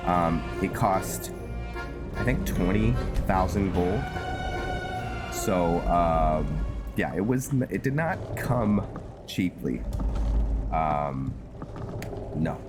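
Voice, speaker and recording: Low slow guy doing casual game commentary, kinda halting, thinking out loud, loud music behind him. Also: expressive. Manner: musing aloud, hesitant, halting. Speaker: gamer playing and narrating a fantasy roleplay series. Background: loud game music under the voice.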